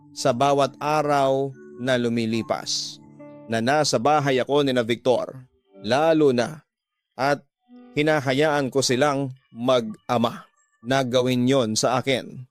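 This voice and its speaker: A man's voice: medium pitch, fairly even intonation, measured pace, long pauses. A man narrating a scripted, emotional first-person story for a radio drama. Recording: music bed behind the voice.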